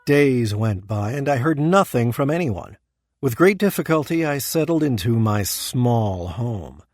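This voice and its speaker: A man playing an ambitious, self-important damned soul in an audio drama, speaking in a formal, deliberate way. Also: medium pitch, expressive, a little mellow, no echo. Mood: measured storytelling. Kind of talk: audio drama acting and first-person narration.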